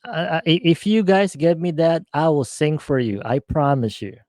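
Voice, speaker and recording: A man's voice: a little high, lively intonation, moderate pace, a little mellow, dry recording, clean studio sound. A man co-hosting a casual chat show, joking around, laughing often and tripping over his words. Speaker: podcast co-host.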